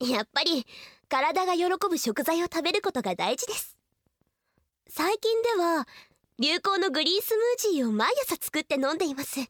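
A woman playing a glamorous female fashion model giving measured, posed interview answers in a scripted radio ad. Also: very high voice, sing-song, no room sound, clean studio sound. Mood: poised, dreamy. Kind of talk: scripted radio advertisement acting.

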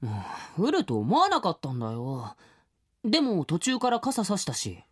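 An earnest teenage schoolboy in an audio drama. The voice is husky and moves freely up and down in pitch. He is skeptical and sincere, both as narrator and as character. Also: wildly swooping pitch, moderate pace, exaggerated voice. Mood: flustered, defensive. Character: earnest, skeptical middle-school boy, also narrating his story. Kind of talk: audio drama acting with first-person narration.

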